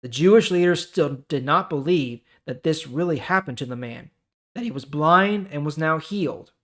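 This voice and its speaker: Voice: medium pitch, animated, normal speed. A host of a Bible reading series, mixing scripture read aloud with informal, explanatory asides to listeners.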